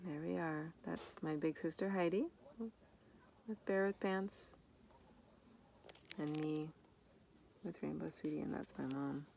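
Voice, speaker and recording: A woman reading a children's story aloud in an expressive manner, with informal asides about the pictures. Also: low-pitched, takes it slowly, gravelly, long pauses, rough recording. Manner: expressive, acts out dialogue. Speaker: storybook reader. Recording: noticeable background noise.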